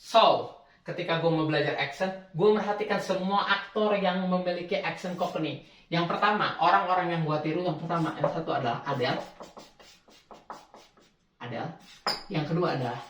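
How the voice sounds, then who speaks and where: A man's voice: a little high, normal speed, long pauses, fairly bright tone, distant mic, slight background noise. A young man explaining accents in a casual, slangy tutorial, often correcting himself mid-word. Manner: chatty, slangy, self-correcting. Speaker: language tutor. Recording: echoey room, away from mic.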